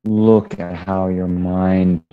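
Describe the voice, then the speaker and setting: A man leading a guided meditation as a Buddhist teacher, giving unscripted instructions in halting phrases. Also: low voice, little pitch movement, clean tone, phone-quality sound.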